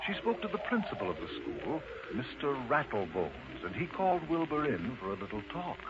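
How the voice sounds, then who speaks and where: Highly animated male children's storyteller. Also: moderate pace. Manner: theatrical, emphatic phrasing. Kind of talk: scripted children's story narration. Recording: dull, noisy low-fidelity recording.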